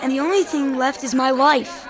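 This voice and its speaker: A woman's voice: high voice, fairly even intonation, normal speed, a crowd in the background, natural voice. A woman voicing a wary, wisecracking ten-year-old boy in an audio drama. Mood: uneasy.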